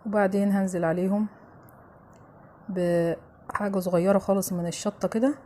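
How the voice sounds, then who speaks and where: A woman with an Egyptian accent narrates a recipe in a soft-edged, mellow voice, over clear background noise. Her pitch sits in the middle, and she talks casually, addressing viewers familiarly as she goes step by step. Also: fairly even intonation, moderate pace. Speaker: home cooking show host.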